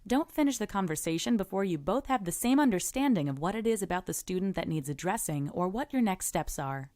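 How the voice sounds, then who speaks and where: A woman presenting scripted instructional narration, giving encouraging, clearly structured advice as a podcast presenter. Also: medium pitch, animated, a little fast, a little mellow, no echo.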